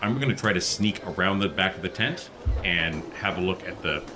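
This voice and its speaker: A man's voice: low voice, normal speed, music in the background. A man playing a tabletop role-playing game, chatting and improvising casually. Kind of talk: casual chat and role-play.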